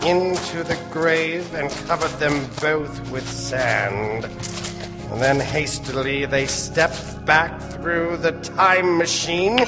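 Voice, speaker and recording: An ominous narrator of eerie tales played by a man, very slow and rather high. He speaks theatrically in old radio-serial style, with music behind him in a reverberant hall. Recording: echoey stage hall reverb.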